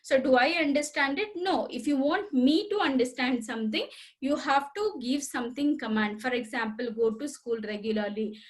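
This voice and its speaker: A woman's voice: high voice, normal speed. A woman teacher giving a computer lesson to children. She repeats key points, asks the class questions and has them say words along with her. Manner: repetitive, addresses children directly, asks questions. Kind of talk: recorded school computer lesson.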